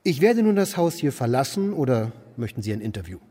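An actor playing an aimless, brooding eccentric in a German radio play, musing and questioning in a deadpan manner. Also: male voice, medium pitch, a little fast, slight background noise, lightly acted. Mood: self-important. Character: aimless, brooding man, eccentric idler and narrator. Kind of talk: German radio play, dialogue and inner monologue.

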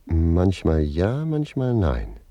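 Chatty cheeky servant in a radio play, low low voice that swings around a lot, sly and philosophical. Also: male voice, wildly swooping pitch, no echo, highs cut off, faint music behind, heavily acted. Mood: sly, evasive. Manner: garrulous, drawn-out, sly mock deference.

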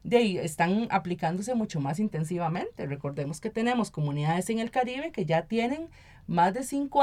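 A woman's voice: mid-range voice, a little mellow. A woman with a Costa Rican accent speaking as a guest in a conversational radio interview, explaining at length and often checking in with tag questions. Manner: explanatory, often tags statements with "¿verdad?". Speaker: interview guest, local council member and activist.

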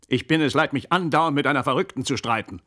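Ein Schauspieler spielt in einem deutschen Hörspiel einen skeptischen, ungeduldigen Herrn, der als Ermittler spöttisch und bohrend nachfragt. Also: male voice, mid-range voice, fast, fairly bright tone, lightly acted. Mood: impatient, stern. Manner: sardonic, probing, impatient. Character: sardonic, impatient gentleman investigator, skeptical and commanding. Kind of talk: German radio play acting.

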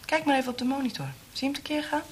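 An actress in a radio drama playing a cold, mocking torturer for a military regime, speaking with deliberate menace. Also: female voice, high voice, steady tone, slight background noise, close to an everyday voice. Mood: irritated, hard.

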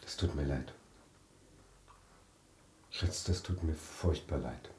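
An actor playing a sombre husband in a radio drama, speaking in a subdued, halting way. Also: male voice, rumbling low, dark tone, echoey room, slight background noise. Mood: sombre, consoling. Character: sombre husband breaking bad news gently. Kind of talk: German radio drama acting.

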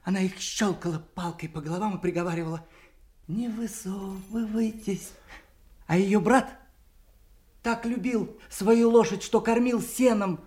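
A man's voice: high-pitched, measured pace, darkish tone. A riddling court fool in an audio stage drama. He is teasing and absurd, spinning nonsense with a playful sing-song delivery. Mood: mocking, playful. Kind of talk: classical stage play, audio drama acting.

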